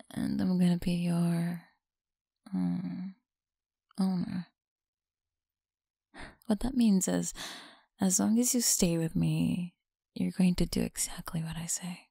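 A woman voicing a mean, teasing tsundere college bully in an intimate ASMR roleplay, creaky and often dropping into breathy whispers. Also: lowish voice, takes it slowly, pauses often, warm tone, no echo, studio quality, heavily acted. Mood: domineering, teasing.

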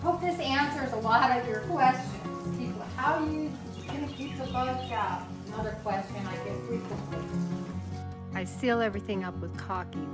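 Female DIY vlogger, mature, conversational and unhurried, echoey room, clear music bed underneath. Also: fairly high pitch. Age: middle-aged to older. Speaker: vlogger, DIY cabin builder. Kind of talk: casual vlog chat and narration. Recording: roomy echo, music behind.

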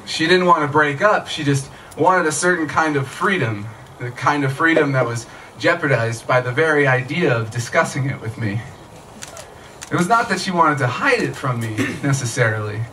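A man's voice: a little high, animated, moderate pace, bright, forceful tone. A man in his thirties reading short fiction aloud at a live event, in a conversational storytelling way. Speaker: author reading own work. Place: small tent stage at night, outdoors. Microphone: stand microphone, recorded by camera from audience. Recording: distant mic, noisy live outdoor event.

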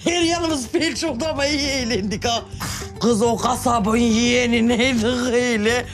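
An actress playing a chatty, gossiping middle-aged auntie in a TV comedy. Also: female voice, a little high, normal speed, faint music behind, close to an everyday voice. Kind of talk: TV comedy drama acting.

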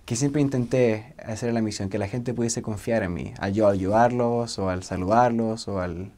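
A man in his twenties with a Chilean accent, explaining things informally to camera as a former missionary, often halting and repeating himself as he searches for words. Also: fairly low pitch, steady tone, dark tone. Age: 20s. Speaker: former missionary giving cultural advice.

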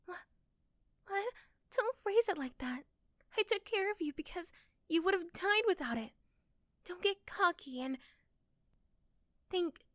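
Woman acting high-pitched emotional girl next door. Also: no room sound, clean studio sound. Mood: flustered. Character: young girl next door, caring, flustered, defensive violinist. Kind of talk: ASMR roleplay acting.

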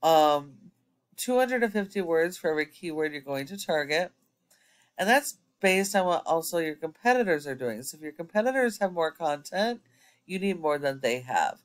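A man's voice: on the high side, expressive, normal speed. Man talking through an SEO audit. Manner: explanatory, with frequent ums. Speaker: SEO consultant. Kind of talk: screen-recorded website audit walkthrough.

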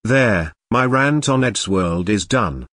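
A man's voice: fairly low pitch, animated, takes it slowly, noisy background. A man voicing a bratty young boy who rants and insults in a cartoon. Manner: whiny, insulting ranting. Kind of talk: cartoon grounded-video dialogue.

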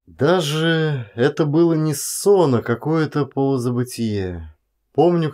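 A man's voice: medium pitch, a little mellow. A man reading literary prose as an actor narrating an audiobook, expressively.

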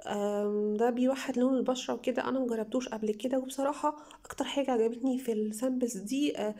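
A young woman with an Egyptian Arabic accent, slightly hoarse from a cold, chatting enthusiastically to viewers as she reviews beauty products at home. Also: a little high, steady tone, fast talker, mellow. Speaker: beauty vlogger. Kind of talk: casual product haul review.

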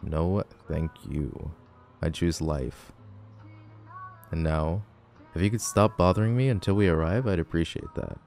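A man's voice: sits low, a little slow, vocal fry, long pauses, darkish tone, soft background music. A man playing a cocky, grumpy tall teenage delinquent boy in an audio roleplay, sarcastic, teasing and dismissive. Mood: gruff, teasing. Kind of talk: boyfriend ASMR audio roleplay.

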